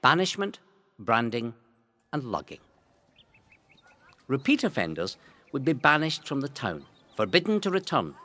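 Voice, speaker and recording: Theatrical Scottish man with highly animated pitch. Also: a little hiss or hum. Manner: theatrical, relishing delivery with deliberate emphasis. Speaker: narrator of a local history audio guide. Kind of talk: scripted dramatic history narration. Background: music.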